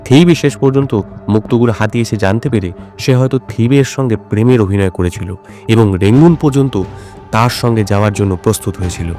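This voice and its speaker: A man's voice: lowish voice, brisk, mellow, soft background music, natural voice. A man playing a composed, probing detective in an audio drama. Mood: reflective, explaining.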